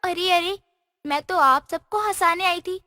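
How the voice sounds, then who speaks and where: A woman's voice: extremely high pitch, a little slow, pushed and bright, no room sound, full character voice. A woman voicing a cheeky, playful fairy girl in a cartoon story. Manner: teasing, chirpy, mischievous. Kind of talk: cartoon voice acting.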